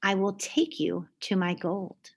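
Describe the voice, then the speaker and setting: A woman's voice: medium pitch, level tone, takes it slowly, creaky, slight background noise. A woman voicing a wily little male leprechaun from a children's storybook, exclamatory and coaxing. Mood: giving in.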